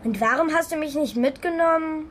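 A woman's voice: sits high, fairly quick, fairly bright tone, slight background noise. A female performer playing an earnest schoolgirl daughter, persistent and chiding, in a children's radio play. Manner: matter-of-fact, persistent, chiding.

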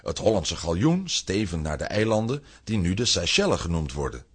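A man's voice: low-pitched, big pitch swings, moderate pace, slightly rough. A man narrating a children's audio drama in a suspenseful, theatrical storytelling style.

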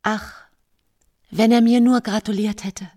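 An actress playing a despairing, pleading middle-aged accused woman, breathy and husky, in a radio drama inner monologue. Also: a little high, fairly even intonation, unhurried, warm tone, distant mic.

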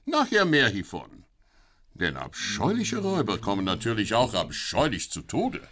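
A man's voice: on the low side, huge pitch swings, moderate pace, over a noisy crowd. A man playing a theatrical, mock-solemn storyteller who addresses the listeners directly in a comic radio play. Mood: gleefully grim.

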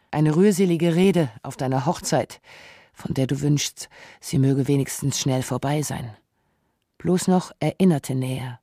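A narrator delivering a scripted literary radio essay in a measured, reflective manner. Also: medium pitch, mellow, dry recording, studio quality.

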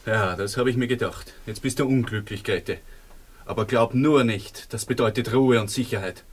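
Guy playing young austrian boyfriend in radio drama, husky mid voice, earnest. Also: medium pitch, normal speed, lightly acted. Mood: reassuring, intense. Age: young adult. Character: earnest young Austrian man reassuring his sweetheart. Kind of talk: radio play acting.

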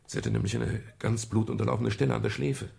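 A man's voice: fairly low pitch, level tone, quick pace, darkish tone, full character voice. An actor playing a weary, world-worn male private detective who acts and narrates in a German radio crime drama. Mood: reconstructing events. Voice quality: gravelly, husky.

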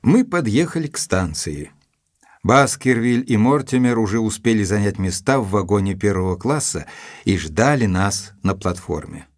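An actor playing a loyal, composed gentleman companion who tells his story in a measured audio drama manner. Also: on the low side, lively intonation. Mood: composed, narrating. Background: music.

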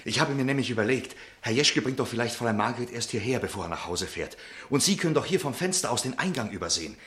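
Ein Schauspieler spielt in einem deutschen Hörspiel einen ernsten, höflichen jungen Mann, der eindringlich jemanden warnen will. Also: male voice, medium pitch, animated, fairly bright tone, natural voice. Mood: polite, pleading. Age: young adult. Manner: polite, hurried, insistent. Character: earnest, urgent, polite young man trying to warn someone. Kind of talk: German radio play acting.

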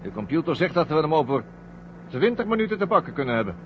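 An actor playing a cautious, duty-minded astronaut co-pilot, practical and wary, in an old radio drama. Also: male voice, on the high side, sing-song, fast talker. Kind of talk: 1970 Dutch radio drama acting. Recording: dull, faintly noisy old radio recording.

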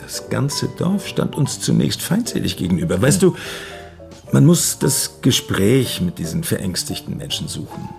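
A smug, middle-aged dentist in a German radio play, with a gravelly, animated voice over clear music. He is a wine connoisseur who savours his words and banters with expansive irony. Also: mid-range voice, moderate pace. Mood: conspiratorial, smug. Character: well-off male dentist hosting friends, smug wine connoisseur. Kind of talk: naturalistic German radio drama acting.

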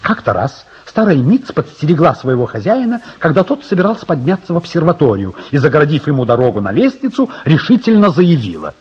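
An actor as the narrator of a radio drama, telling the story in a measured, dramatic style. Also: male voice, on the high side, sing-song, heavily acted. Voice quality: husky. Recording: dull, muffled radio drama recording.

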